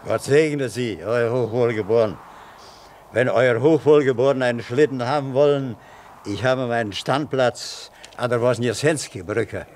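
A man's voice: medium pitch, unhurried, darkish tone, close to an everyday voice. Man playing a humble sleigh driver, faint noise. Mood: servile. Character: humble, obsequious Russian sleigh driver touting for fares. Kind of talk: German radio play acting.

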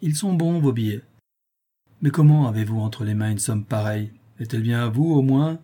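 A man voicing a gruff, insolent bailiff's man, husky. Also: mid-range voice, normal speed, dark tone, close to an everyday voice. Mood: suspicious, insolent. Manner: brutal, menacing, whining when overpowered. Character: gruff, insolent, coarse male bailiff's officer.

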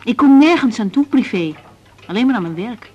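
A woman's voice: fairly high pitch, expressive, brisk, mellow, husky, highs cut off. An actress in her twenties with a Netherlands Dutch accent, playing a busy, patient young doctor in a naturalistic radio drama. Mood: candid, affectionate.

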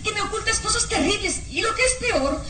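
An actress playing a young, anguished but defiant noble daughter in a melodramatic radio drama, pleading and insistent. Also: female voice, very high-pitched, animated, brisk. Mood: anguished, accusing.

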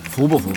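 A man's voice: high voice, gravelly, heavily acted. An actor playing an affable, scheming male guild leader in an audio drama, chummy, exclamatory and eager to please. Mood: taunting.

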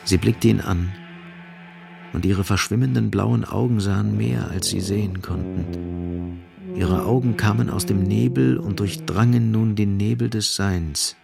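Male narrator, lowish gravelly voice, measured, grave, literary radio drama storytelling. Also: fairly even intonation, over music.

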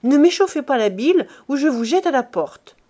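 A woman reading aloud, voicing a contemptuous male oil merchant who threatens and then turns icily calm. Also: fairly high pitch, moderate pace, close to an everyday voice. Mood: threatening.

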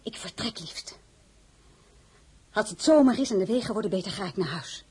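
A proud young crown princess in a radio drama. Her voice is very husky and breathy at a middling pitch. She speaks with dignity and an outspoken, defiant streak. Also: lively intonation, moderate pace, a little mellow. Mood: resigned. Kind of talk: dramatised radio play acting.

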